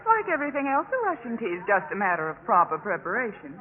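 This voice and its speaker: A tinny old radio recording of an actress playing a smug young sorority woman. Her delivery is animated, breezy and haughty, in 1950s drama style. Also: medium pitch, fast talker, close to an everyday voice. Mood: smug confidence. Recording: muffled narrow-band 1950s radio recording.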